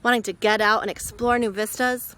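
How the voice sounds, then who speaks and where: A woman's voice: on the high side, fairly even intonation, brisk, fairly bright tone, clean tone, lightly acted. A woman playing a perky, persuasive announcer in a scripted parody travel commercial, with an upbeat sales manner. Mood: upbeat, salesy.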